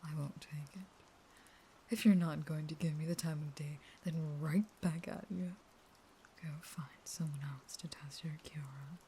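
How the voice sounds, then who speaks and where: A woman playing a caged, starving vampire in an intimate audio roleplay, half-whispering in a slow, taunting, pleading and unstable way. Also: deep voice, steady tone, mellow, a little hiss or hum. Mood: hurt, angry.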